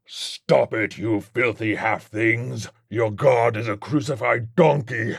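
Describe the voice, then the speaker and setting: Demon villain for an audiobook: booming, boastful, cruel, dry studio sound. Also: male voice, vocal fry, slightly rough, dry recording, exaggerated voice. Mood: enraged shrieking. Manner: slow, taunting, drawn-out delivery. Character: boastful, sadistic demon possessing a city.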